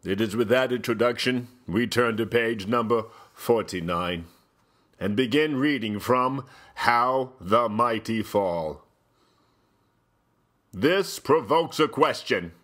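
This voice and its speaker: A man playing a pompous, theatrical aristocratic gentleman who hosts mock-highbrow dramatic readings with grand, deliberate, drawn-out phrasing. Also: lively intonation. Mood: stately, formal. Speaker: host.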